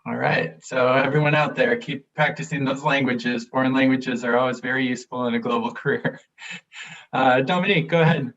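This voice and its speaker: A man's voice: medium pitch, steady tone, fairly quick, creaky, a bit bright, distant mic, muffled recording, slight background noise. A man moderating a panel on a video call, giving friendly brief prompts and thanks.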